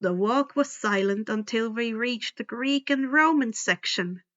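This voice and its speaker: A woman reading first-person fiction aloud in a deliberate, dramatic storytelling style. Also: on the high side, a bit bright, muffled recording. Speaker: fan fiction audiobook reader. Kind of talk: expressive first-person scripted narration.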